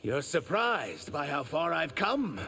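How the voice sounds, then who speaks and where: A man voicing an earnest, wounded reptilian shapeshifter for a video game, measured and sincere with a gravelly edge. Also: on the high side, sing-song, bright, forceful tone, a little hiss or hum, heavily acted. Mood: earnest, resolute. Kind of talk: video game character acting.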